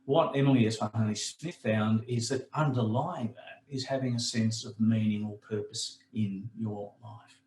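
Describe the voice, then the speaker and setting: Croaky man presenting a webinar, echoey. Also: lowish voice, fairly even intonation, normal speed, a little mellow, slight background noise. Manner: conversational, explanatory, frequent fillers.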